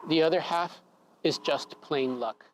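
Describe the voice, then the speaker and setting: A man calmly telling a personal story, measured and reflective. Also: a little high, slight background noise. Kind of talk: personal story told live.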